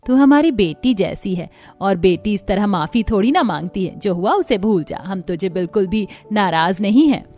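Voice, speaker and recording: A woman's voice: medium pitch, animated, moderate pace, hardly any pauses, mellow, faint music behind, close to an everyday voice. A woman playing a kindly middle-aged mother-in-law in a cartoon story. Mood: motherly.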